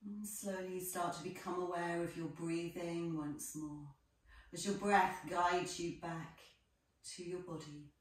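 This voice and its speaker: Female yoga instructor for guided relaxation: low-ish, very unhurried delivery, gentle phrasing. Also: fairly even intonation. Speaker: yoga teacher. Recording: echoey room, distant microphone.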